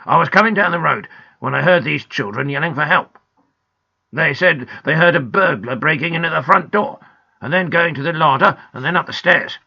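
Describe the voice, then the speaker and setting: A woman voicing a gruff, officious and rather cross policeman in a children's storybook. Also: deep voice, normal speed, sharp tone, muffled recording, heavily acted. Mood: formal.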